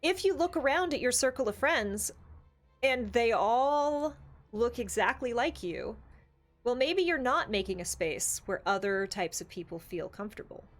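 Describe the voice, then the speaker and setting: A woman's voice: on the high side, expressive, measured pace. Casual woman hosting a chat, music behind. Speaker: show host. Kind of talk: casual panel discussion of tabletop gaming.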